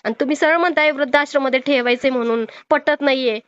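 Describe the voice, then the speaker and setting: A woman with a Marathi accent speaks in a high voice on a dull, dry phone recording. She admonishes and counsels the listener. Her manner is insistent and moralising, full of pointed rhetorical questions. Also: steady tone, fast, no room sound. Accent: Marathi, Maharashtra. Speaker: old-age home worker taking a call. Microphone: phone call. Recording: muffled lo-fi phone call recording.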